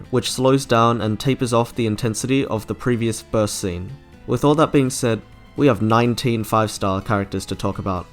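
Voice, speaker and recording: A man narrating a scripted video essay as its host. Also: fairly low pitch.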